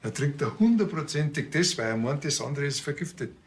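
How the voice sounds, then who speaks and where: A man's voice: a little high, sing-song, fairly quick, breathy edge, heavily acted. An actor playing a laid-back, wily village policeman with a casual, folksy Bavarian accent. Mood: wry. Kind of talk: television crime comedy acting. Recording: faint background noise.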